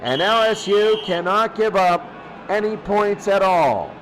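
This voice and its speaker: High-pitched man calling football play-by-play. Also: animated, unhurried, fairly bright tone, slight background noise, low-quality recording. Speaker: play-by-play commentator for a video game football stream. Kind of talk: sports play-by-play commentary.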